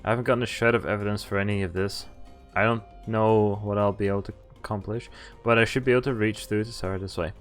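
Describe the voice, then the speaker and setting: A man's voice: fairly low pitch, fairly even intonation, fast. A man streaming a visual novel, reading its text aloud and sometimes stumbling over words and rereading them. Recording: music behind the voice. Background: game music.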